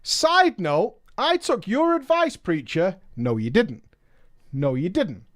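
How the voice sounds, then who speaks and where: High, lively male streamer. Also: slow. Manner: emphatic, mocking asides. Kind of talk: reading a viewer's story aloud with commentary.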